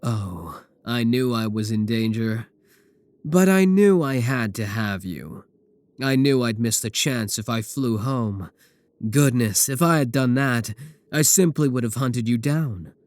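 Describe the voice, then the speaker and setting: A man playing a possessive werewolf professor in an intimate ASMR roleplay, speaking deliberately with coolly affectionate menace. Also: medium pitch, lively intonation, no echo, close to an everyday voice. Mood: possessive, adoring. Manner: slow, deliberate, soft chuckles, pet names. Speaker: voice actor in a roleplay audio.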